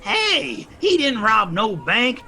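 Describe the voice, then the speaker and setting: A man acting as an excitable, loyal street pal with a high, sharp voice. He is simple and folksy, blurting his lines with broken grammar in a scripted drama. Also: takes it slowly, creaky, full character voice. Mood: flustered, protective.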